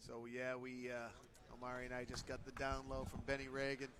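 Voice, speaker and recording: A man's voice: mid-range voice, completely flat delivery, fairly quick, background noise. A man doing live ringside commentary on a kickboxing bout, exclaiming at the big hits. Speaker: fight commentator.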